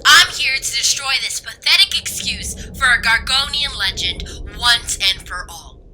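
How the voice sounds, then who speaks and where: A woman's voice: a little high, lively intonation, a little slow, pushed and bright, a little hiss or hum, full character voice, poor audio. A woman playing a scheming alien zealot and traitor in an audio drama, with a haughty, gloating, theatrical delivery. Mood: contemptuous, zealous.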